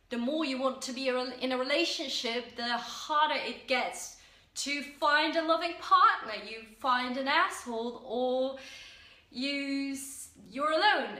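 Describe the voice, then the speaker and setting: A young woman with a Dutch accent talks earnestly about self-help, pausing on fillers and restarting her sentences. Her voice is bright and sits a little high. The room around her rings with echo. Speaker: vlogger. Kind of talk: casual self-help talk to camera.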